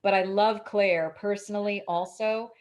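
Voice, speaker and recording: A woman's voice: mid-range voice, steady tone, moderate pace. A woman speaking as an interviewed author in a casual spiritual conversation, with an emphatic, declarative way of talking.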